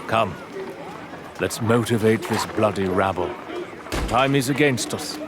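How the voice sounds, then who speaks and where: An actor playing a proud, headstrong lord in a period audio drama, speaking in a commanding, formal, theatrical manner. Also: male voice, on the low side, unhurried, noisy background, natural voice. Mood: rousing, defiant. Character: proud, headstrong English lord leading a stag hunt.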